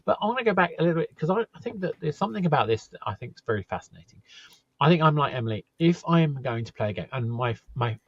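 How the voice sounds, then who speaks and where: A man hosting a podcast, enthusiastic and rambling, his voice a little husky. The recording is dull and lo-fi, with a dry, close sound. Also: fairly high pitch, lively intonation, no echo. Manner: enthusiastic, quick bursts, rambling. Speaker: podcast host. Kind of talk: casual podcast chat.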